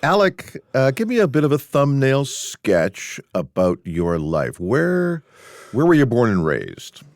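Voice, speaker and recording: A man hosting a podcast, asking his guest relaxed, friendly questions. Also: medium pitch, measured pace, no room sound, studio quality. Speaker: podcast host. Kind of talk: casual interview.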